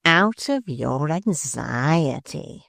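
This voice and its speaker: A gravelly, slow-spoken dwarf who sneers and threatens with spiteful glee, as a male reader puts him on. The voice drops low and crackles with fry in a dry, close recording. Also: sing-song, sharp tone, dry recording, heavily acted. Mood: mocking. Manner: sneering and menacing. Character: spiteful scheming male dwarf, bullying and gleeful. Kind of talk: audiobook character voice.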